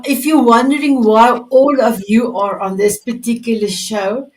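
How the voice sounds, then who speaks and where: A woman's voice: a little high, darkish tone, far from the mic, low-quality recording. Effusive woman hosting a video call show. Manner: effusive, gushing. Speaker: host. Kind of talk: online talk show hosting.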